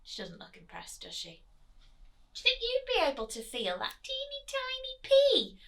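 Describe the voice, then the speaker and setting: A woman teacher reading expressively to children at storytime, pausing to prompt them with questions. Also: extremely high pitch, wildly swooping pitch, fairly bright tone.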